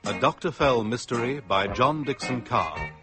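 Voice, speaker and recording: A man's voice: medium pitch, sing-song, normal speed. A man formally announcing a radio drama as its announcer. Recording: loud swing music behind.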